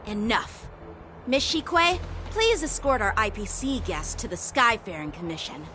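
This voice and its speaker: A woman voicing a composed, authoritative swordswoman in a video game, speaking in a measured, official way. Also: medium pitch, very animated, sharp tone, slight background noise. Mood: composed authority. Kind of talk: video game story dialogue.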